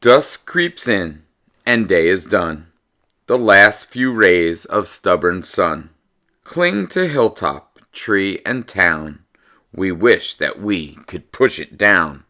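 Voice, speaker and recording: The recording is very echoey, as if he stands a little away from the microphone in a room. He is a middle-aged American man with a slightly low voice, speaking as a teacher to young children. His delivery is animated, with big emphasis on key words. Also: slow. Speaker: teacher reading stories aloud to young pupils. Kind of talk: casual chat to children, then storybook read-aloud. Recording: echoey room, a bit far from mic.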